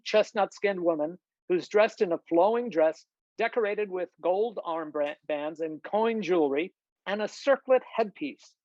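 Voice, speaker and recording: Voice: mid-range voice, darkish tone, no room sound, dull audio. A narrator reading screenplay stage directions and scene headings in a scripted style. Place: close, dry room on a video call.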